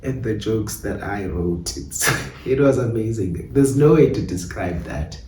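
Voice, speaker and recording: A South African man talking reflectively in an interview, hesitant and animated, often repeating himself. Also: lowish voice, unhurried. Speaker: playwright and director being interviewed. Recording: roomy, distant mic with faint noise.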